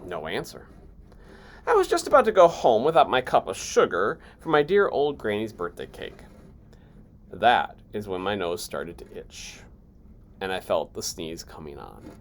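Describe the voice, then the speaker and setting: Sly self-excusing storybook wolf acted out by a reader: folksy, mock-innocent, swooping pitch, slow deliberate delivery. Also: big pitch swings, full character voice. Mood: mock-innocent, confiding.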